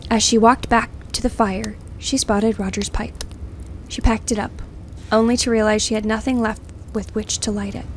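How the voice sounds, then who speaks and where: Woman, fairly high voice, expressive story narration, suspenseful, slight noise behind. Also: normal speed, poor audio. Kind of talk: dramatic scripted fiction narration.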